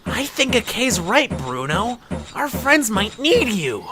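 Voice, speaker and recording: A woman playing a small, plain-spoken young traveller in an amateur audio drama, with expressive delivery. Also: fairly high pitch, takes it slowly. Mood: wistful. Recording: noisy home recording.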